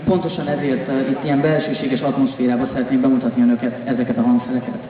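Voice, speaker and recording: A Hungarian man interprets live from a stage at a brisk pace. His voice echoes heavily around a large hall. The sound is muffled and narrow, with a clearly audible crowd. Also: mid-range voice, little pitch movement.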